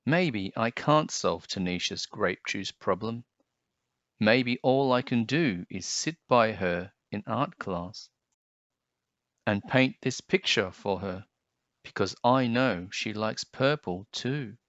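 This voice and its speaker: Gentle guy reading a kids book, talks slow and simple, dry close mic, kinda dull recording. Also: fairly low pitch, expressive, no echo. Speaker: children's book reader. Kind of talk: reading a picture book aloud and chatting to children.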